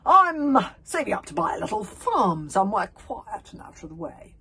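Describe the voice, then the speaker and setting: A woman's voice: medium pitch, very animated, normal speed, bright, forceful tone, husky, voice pushed up, full character voice. A woman voicing a boastful middle-aged male hero with a grand, flowery, self-satisfied manner in a storybook. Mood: pleased.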